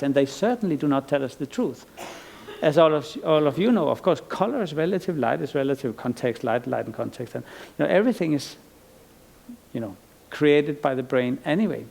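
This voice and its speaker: A man's voice: fairly high pitch, lively intonation, brisk, creaky, a little mellow. A middle-aged man from Iceland giving a conference lecture as an artist, thoughtful and rambling, with frequent restarts and fillers. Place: lecture stage.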